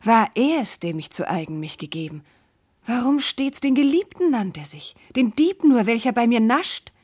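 A devoted noble wife in a classical verse radio play. Her woman's voice sits on the high side. She declaims with solemn fervor and aching anguish, as a princess laying her heart bare. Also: sing-song, moderate pace, darkish tone, slightly rough. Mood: troubled, doubting.

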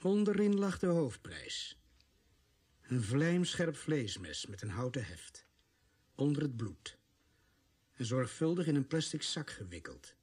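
An actor playing a sober policeman who narrates a radio play in measured, suspenseful phrases. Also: mid-range voice, sing-song, darkish tone, breathy edge, dry recording, exaggerated voice. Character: sober policeman narrator recounting a murder case.

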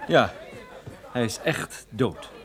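An actor playing a cool-headed, sardonic private detective in radio play dialogue, speaking with calm command. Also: male voice, medium pitch, slow, crowd noise. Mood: dry calm. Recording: radio drama with noisy car effects.

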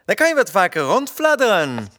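A man's voice: high-pitched, huge pitch swings, brisk, bright, forceful tone, slight background noise, heavily acted. A man playing an obsequious, scheming court steward in a theatrical fairy-tale radio play. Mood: falsely cheerful. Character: scheming, fawning male court steward of an emperor. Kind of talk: fairy-tale audio drama acting.

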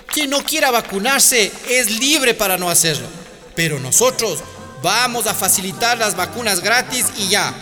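An actor playing an earnest, rousing candidate making a campaign speech in a radio drama. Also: male voice, high voice, expressive, normal speed. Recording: long reverb, loud music behind.

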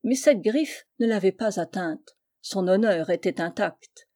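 A woman with a soft, mellow voice reads a historical tale aloud. Her delivery is measured and literary, like an audiobook narrator. The pitch sits in a middle range for a woman, with a dark, relaxed tone. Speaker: audiobook reader.

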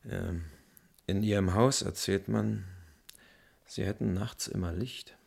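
An actor playing a reserved, probing man making official inquiries in a radio play, his voice husky and creaky. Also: sits low, steady tone, slow pace, a little mellow, exaggerated voice. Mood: guarded. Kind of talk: German radio play acting.

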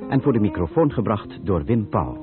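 Low-pitched radio announcer over loud music. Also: male voice, very animated, moderate pace. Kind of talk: formal scripted announcement. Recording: narrow old radio recording, loud music. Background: loud plucked-string music.

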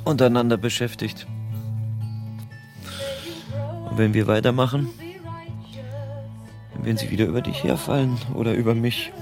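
An actor playing a disillusioned but humane private detective, laconic and dry, in a radio drama. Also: male voice, medium pitch, fairly even intonation, fairly quick, gravelly, over music, close to an everyday voice. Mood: sober warning. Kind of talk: German radio drama acting.

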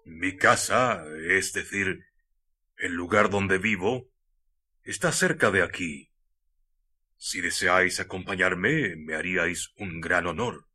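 A man acts out a mysterious hermit for a radio play, with an accent from Spain. The voice is low and forceful, with a husky grain. He speaks very slowly, in solemn, archaic and courteous phrases. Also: exaggerated voice. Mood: courtly, humble. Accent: Spanish from Spain. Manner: archaic, formal, solemn speech. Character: mysterious courteous old-world Spanish hermit in a cave. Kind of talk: radio drama acting.

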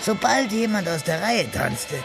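A man's voice: high voice, lively intonation, a little slow, vocal fry, music in the background, heavily acted. An actor narrating a hard-boiled German radio crime drama as its male storyteller, in a scripted style. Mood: measured storytelling.

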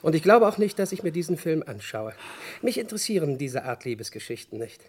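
A man's voice: on the high side, big pitch swings, brisk, breathy edge. An actor playing a long-winded, over-polite, cheerfully oblivious nosy male neighbour in a comic radio play. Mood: chatty, over-polite. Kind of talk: comic German crime radio play, 1950s-80s.

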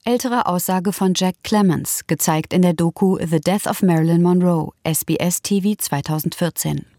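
Mellow, low-voiced female announcer. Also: moderate pace, no echo, clean studio sound. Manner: factual, neutral. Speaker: announcer of sources. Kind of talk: scripted narration.

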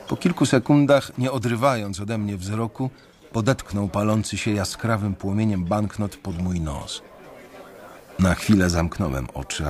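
Brooding male narrator of a radio play. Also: low-pitched. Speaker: narrator and lead character. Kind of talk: first-person radio drama narration.

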